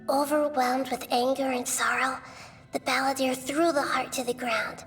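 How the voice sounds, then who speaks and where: A woman voicing a wise, composed young girl-like deity in a video game, gentle and grave, explaining in measured storytelling phrases. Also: sits high.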